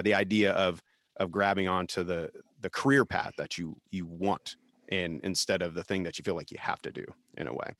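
A man's voice: low voice, vocal fry, fairly bright tone, dry recording, clean studio sound. A man in his forties hosting a casual conversation, reflective and rambling, laughing often. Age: 40s. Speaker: podcast host, musician.

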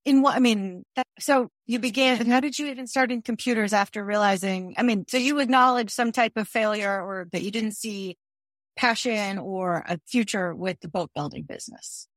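A woman's voice: mid-range voice, moderate pace, no room sound. A woman conducting a casual interview, feeling her way into questions and rephrasing them midway.

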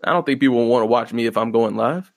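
A man hosting his own podcast, rambling in a laid-back, profane way with a fairly monotone voice and lots of fillers. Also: medium pitch, quick pace, creaky, no room sound, clean studio sound. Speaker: podcast host. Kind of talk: casual solo podcast commentary. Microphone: close podcast microphone.

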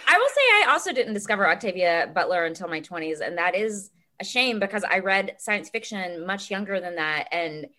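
A woman in her thirties, a filmmaker guest chatting casually in an interview, talking in a quick, youthful way. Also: mid-range voice, big pitch swings, pushed and bright. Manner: quick, chatty, self-described teenage way of talking.

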